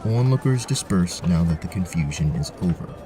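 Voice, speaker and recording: A male narrator tells a fantasy adventure in scripted prose. His voice is low, with music clearly playing behind him. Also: expressive, normal speed, dark tone. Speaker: narrator, game master.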